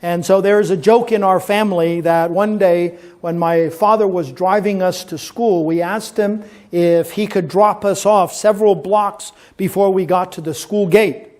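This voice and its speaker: A pastor in his fifties, raised in Taiwan, giving a relaxed talk to young people at a church, telling stories with light jokes and many pauses. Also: high-pitched. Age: 50s. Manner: relaxed storytelling with gentle jokes and frequent "uh" pauses. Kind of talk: informal talk to a youth audience at a church event.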